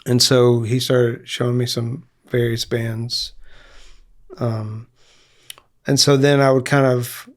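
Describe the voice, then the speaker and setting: A man's voice: mid-range voice, little pitch movement, slow pace, long pauses. A man in his fifties, a band singer, talking casually in a studio interview with lots of fillers and restarts. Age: 50s. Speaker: guest musician, singer in a band. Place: recording studio.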